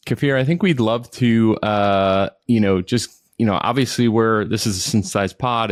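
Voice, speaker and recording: A man's voice: lowish voice, no room sound, clean studio sound. A man hosting a podcast interview, chatting casually and restarting his questions with lots of fillers. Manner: rambling, restarts with lots of "you know". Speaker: podcast host.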